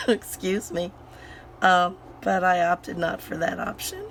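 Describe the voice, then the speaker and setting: A woman hosting a crafting channel and chatting casually while she works. Her voice is hoarse and still recovering, and she rambles with many fillers and restarts. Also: fairly low pitch, lively intonation, slow, pushed and bright, a little hiss or hum. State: hoarse, voice recovering from sinus infection.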